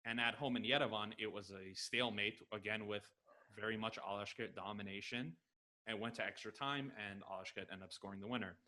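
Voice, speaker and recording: An American man from Los Angeles joins a podcast by headset from his home. His voice sits a little low, and he talks with eager, quick enthusiasm, sprinkling in fillers. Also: pushed and bright, rough recording. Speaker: podcast guest. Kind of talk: casual interview answers. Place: home room, video call.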